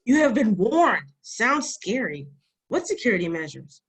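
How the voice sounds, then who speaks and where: Woman acting a husky, cheeky young girl. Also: fairly high pitch, big pitch swings, a little slow, exaggerated voice. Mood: wary, curious. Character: plucky, cheeky young girl, tougher than she looks. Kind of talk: screenplay table read acting.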